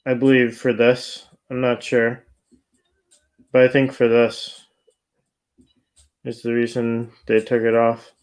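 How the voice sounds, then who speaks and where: Male livestream host, smooth clear voice, unhurried casual commentary. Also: mid-range voice, completely flat delivery, a little mellow.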